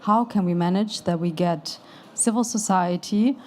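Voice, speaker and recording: Earnest young woman delegate, mellow voice. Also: fairly low pitch, a little slow. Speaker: youth delegate. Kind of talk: audience question. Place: conference pavilion.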